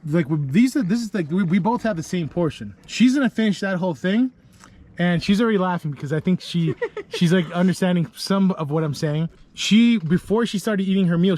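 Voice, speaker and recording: A man with a Puerto Rican accent talks into a handheld camera, outdoors by a pool. His voice sits a bit high for a man, and he rambles, often restarting his sentences. His tone is loose and chatty, like a travel vlog. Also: darkish tone, a little hiss or hum. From Puerto Rico. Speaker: travel vlogger.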